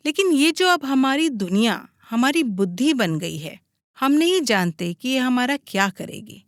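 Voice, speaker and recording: A woman's voice: on the high side, no room sound, studio quality. A woman reading a scripted audiobook as its narrator.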